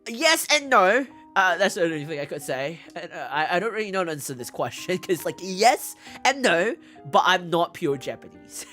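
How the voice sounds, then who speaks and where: A woman streamer with a mixed English accent chatting casually as she answers viewer questions, giggly and halting, often repeating herself. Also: lowish voice, very animated, a bit bright. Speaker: VTuber streamer host. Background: background music.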